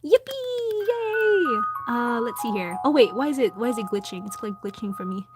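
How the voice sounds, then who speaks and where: A young woman with a Filipino accent chatting casually with her livestream viewers while drawing, soft-spoken with lots of ums. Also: a little high, very animated, moderate pace. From Philippines. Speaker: livestream host. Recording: background music. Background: music.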